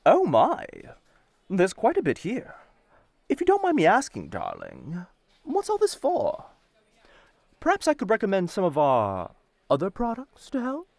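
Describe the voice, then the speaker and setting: A man's voice: high voice, wildly swooping pitch, unhurried, gravelly, long pauses, no room sound, pitched above the performer's own voice, heavily acted. A man voicing a chirpy, fawning merchant, a disguise in a scripted roleplay, with an ingratiating sing-song manner. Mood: cheery, fawning. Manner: bright, sing-song, ingratiating, calls listener darling. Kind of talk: ASMR roleplay, scripted character acting.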